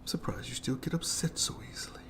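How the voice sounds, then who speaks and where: A performer voicing a sadistic, gloating monster in a creaky whisper for horror ASMR, with slow, theatrical, mockingly polite menace. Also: close to an everyday voice. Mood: mocking, menacing. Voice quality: breathy, heavily creaky whispery voice. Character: gloating sadistic monster jailer lurking under the bed. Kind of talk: scripted horror ASMR roleplay.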